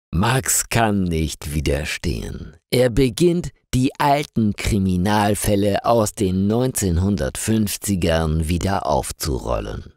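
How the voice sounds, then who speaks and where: A man narrating a scripted story for language learners, reading slowly and carefully articulated with lively intonation. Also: lowish voice, no room sound, clean studio sound.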